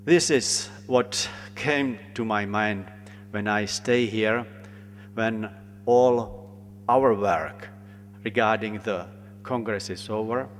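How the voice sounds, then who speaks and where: A man with a Czech accent, a local organizer, giving an earnest formal welcome speech with slightly non-native phrasing. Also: on the low side. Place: large conference hall. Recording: long hall reverb, faint hum.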